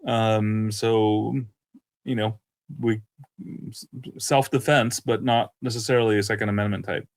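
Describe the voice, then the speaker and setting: A man with a low voice talks casually as a podcast co-host. He speaks haltingly, circling back over phrases as he thinks, in a dry, close-sounding recording. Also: fairly even intonation, dry recording. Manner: halting, searching for words with repeated phrases. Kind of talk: casual, unscripted discussion.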